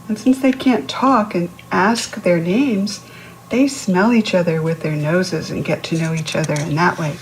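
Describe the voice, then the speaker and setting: A woman's voice: fairly low pitch, a little slow, a little hiss or hum, poor audio. A woman reading a picture book aloud to young children, instructive, posing questions to the listener and explaining words. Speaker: children's read-aloud host.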